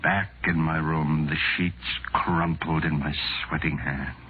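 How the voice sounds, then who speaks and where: An actor playing a desperate, terrified father trapped in a hospital, breathless and halting, in 1940s radio horror style. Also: a bass voice, fairly even intonation, slight background noise. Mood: hushed dread. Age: middle-aged or older. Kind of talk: 1940s radio horror acting, first-person narration. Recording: muffled vintage 1940s radio recording. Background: dramatic music.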